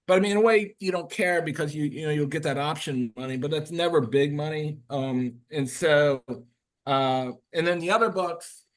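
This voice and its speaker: A man's voice: fairly high pitch, moderate pace. A man who is a guest author, talking casually on a video call, rambling with many fillers and restarts. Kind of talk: casual webinar talk.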